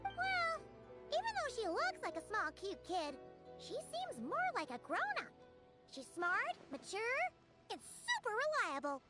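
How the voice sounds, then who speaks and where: A tiny floating fairy sidekick with a very high, squeaky voice that bounces all over the place. She's excitable and blunt and speaks of herself in the third person. Loud game music plays under her lines. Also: measured pace, exaggerated voice. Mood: earnest. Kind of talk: video game voice acting. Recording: game soundtrack playing behind.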